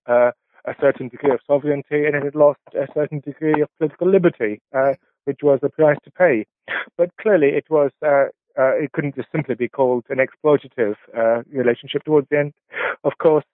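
Brief: professor in his 60s, Polish, halting and reflective, heard over a dry, tinny phone line. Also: medium pitch, no room sound. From England. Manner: halting, many filler sounds and restarts. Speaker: professor, interview guest. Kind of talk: reflective interview answers.

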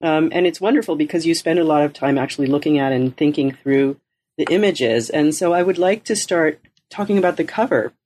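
A woman's voice: deep voice, fairly quick, a little mellow. A woman hosting an interview podcast, reading an introduction and then asking conversational questions. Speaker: podcast host.

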